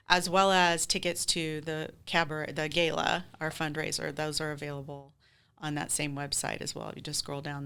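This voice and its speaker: A woman's voice: low-pitched, fairly bright tone, clear, resonant tone. A woman with an American accent speaking casually as a theater group's guest in an interview.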